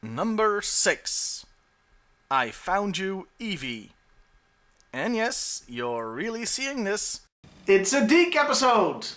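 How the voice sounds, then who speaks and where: A man's voice: high voice, very animated, takes it slowly, fairly bright tone. A man narrating a scripted countdown review as the host.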